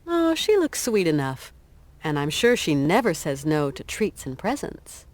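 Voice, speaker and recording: A woman's voice: low voice, sing-song, a little slow, dry recording. An actress playing a determined, kindly American woman adventurer in a video game, talking in an earnest, conversational way. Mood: persuasive.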